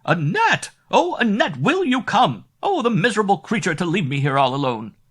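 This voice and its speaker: A man voicing a shrill old female innkeeper who screams up a staircase, in a storybook reading. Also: sing-song, moderate pace, a bit bright, breathy edge, exaggerated voice. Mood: shrieking.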